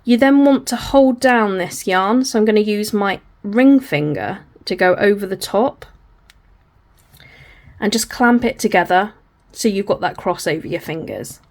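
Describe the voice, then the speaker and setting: A woman with a croaky, hoarse voice teaches crochet slowly and patiently. She talks through each hand movement as she films, sounding apologetic and plain, at a middling pitch. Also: expressive, a little mellow. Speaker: crochet tutor. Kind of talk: step-by-step craft tutorial.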